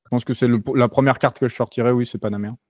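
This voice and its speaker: A man's voice: medium pitch, very fast, no room sound. French man, casual and dry-sounding. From France. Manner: relaxed, many fillers and restarts. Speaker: interview guest. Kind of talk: casual conversational interview.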